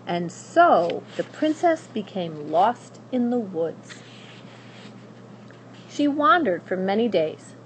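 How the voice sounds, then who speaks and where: A woman narrating a children's story aloud in a dramatic, expressive and deliberate way. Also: medium pitch, poor audio. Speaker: school staff member reading aloud. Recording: faint background noise.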